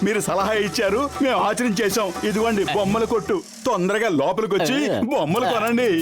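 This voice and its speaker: Man as eager young toy-shop owner, fast and high, loud music under. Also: natural voice. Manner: eager, hurried, calling customers in. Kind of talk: dubbed cartoon voice acting. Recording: cartoon soundtrack with loud music.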